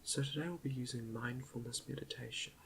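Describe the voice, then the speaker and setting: A man quietly leading an ASMR guided meditation in a soft half-whisper, gentle and a little hesitant, close to the microphone with chalk clicks behind him. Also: mid-range voice, steady tone, brisk, slight background noise, poor audio. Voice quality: soft, breathy half-whisper with creaky fry.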